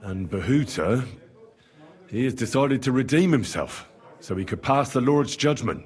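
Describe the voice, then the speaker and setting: An actor playing a young, modest, good-natured medieval adventurer in a video game. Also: male voice, mid-range voice, animated, unhurried, a little mellow, natural voice. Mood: matter-of-fact. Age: young adult. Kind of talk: video game cutscene acting.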